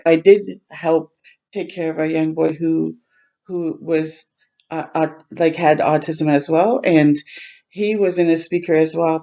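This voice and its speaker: A woman hosting a storytime for children, reading a picture book aloud in a gentle way and pausing to chat and explain simply. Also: deep voice. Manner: gentle, simple explaining to young listeners, with pauses to comment.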